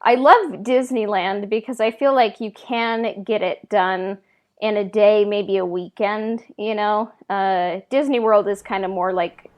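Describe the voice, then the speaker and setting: Woman, average pitch, podcast co-host, informal conversational style. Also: fairly even intonation, unhurried, darkish tone. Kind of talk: casual chat.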